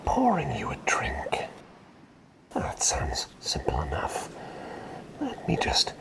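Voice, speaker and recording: A man playing a gentle, forgetful, whimsical plague doctor in a soft ASMR roleplay, speaking in a breathy whisper with polite, hesitant rambling. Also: deep voice, heavily acted, poor audio. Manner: rambling, polite, hesitant, repeats phrases softly.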